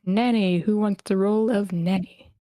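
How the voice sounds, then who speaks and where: A woman's voice: medium pitch, fairly even intonation, moderate pace, dark tone, dry recording. A woman casually hosting an online script table read, with a playful manner.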